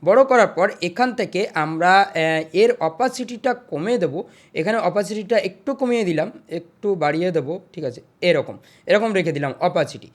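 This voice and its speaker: Tutorial host walking thru steps one by one, repeats each step, medium pitch voice. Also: lively intonation, moderate pace. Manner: instructional, repeats each step before the next. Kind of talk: step-by-step screen tutorial narration.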